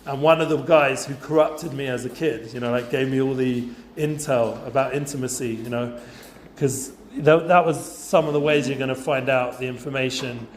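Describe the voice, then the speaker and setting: A man's voice: mid-range voice, fairly even intonation, moderate pace, hardly any pauses, slight background noise. A man, talking casually to a youth group with lots of fillers and asides. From North London, England. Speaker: guest speaker for students. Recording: roomy echoey room.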